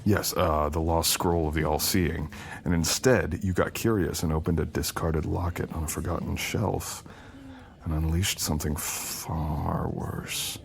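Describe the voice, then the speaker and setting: Male actor as a dark supernatural lover, extremely low voice, slow pace, brooding, teasing, possessive, intimate ASMR roleplay. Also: steady tone, heavily acted. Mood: dark, nostalgic. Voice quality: deep, gravelly, often breathy and whispered. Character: possessive, menacing male shadow-wielding supernatural boyfriend. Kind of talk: romantic ASMR audio roleplay.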